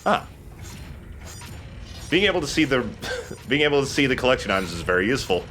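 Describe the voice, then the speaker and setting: A man's voice: mid-range voice, very animated, a little fast, a bit bright, a little hiss or hum. A man hosting a let's play, giving casual commentary while he plays. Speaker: let's play host and player.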